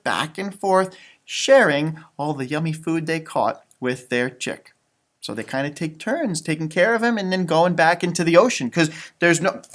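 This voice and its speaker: A man reading a children's picture book aloud in an expressive, teacherly way, with casual chatty asides. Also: a little high. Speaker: storybook reader, elementary school teacher.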